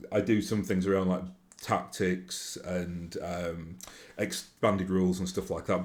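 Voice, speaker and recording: A man's voice: low voice, a little mellow. A man with a broad Yorkshire accent, talking casually to his viewers in a rambling way with many fillers and restarts. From Yorkshire, England. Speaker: YouTube host. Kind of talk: casual solo monologue.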